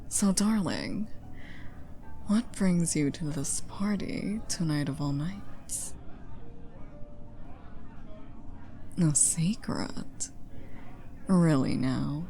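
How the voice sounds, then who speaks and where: Flirty, teasing party charmer played by a woman, unhurried and mellow, playful coaxing for an intimate ASMR roleplay. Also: on the low side, slight background noise. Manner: playful, coaxing, drawn-out teasing with breathy asides. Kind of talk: ASMR roleplay, intimate one-sided dialogue.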